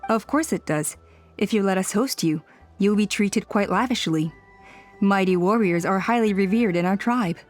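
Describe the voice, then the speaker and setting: Woman voicing gracious tribe elder in a game, formal and measured, mid-pitched, soft music behind. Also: natural voice. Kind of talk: anime-style video game voice acting.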